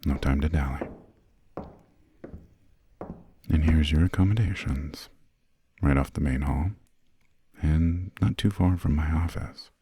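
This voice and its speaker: A man playing a refined, imperious vampire lord in an ASMR roleplay, speaking in a hushed, formal, slightly haughty way with a breathy, gravelly low voice. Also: normal speed, no echo, studio quality, exaggerated voice. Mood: politely aloof. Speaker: employer briefing a new assistant.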